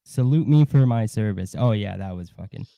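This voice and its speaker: Male livestream co-host, dark easygoing tone, rambling sweary delivery, dry close recording. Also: lowish voice, lively intonation, gravelly, dry recording. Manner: rambling, halting, frequent swearing. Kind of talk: casual live commentary and gossip.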